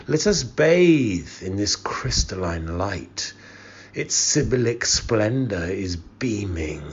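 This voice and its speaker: A man's voice: on the low side, breathy edge, full character voice. Poem role: grieving, haunted male lover, acted by a man, dramatic and impassioned, slightly dull sound. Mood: eager, hopeful. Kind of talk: dramatic poetry recitation. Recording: muffled, with background hiss.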